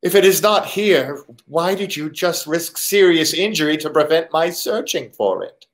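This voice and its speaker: A man playing a courteous but menacing foreign gentleman crook in a 1940s-style radio drama, with formal, deliberate phrasing. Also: a little high, expressive. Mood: guarded, polite.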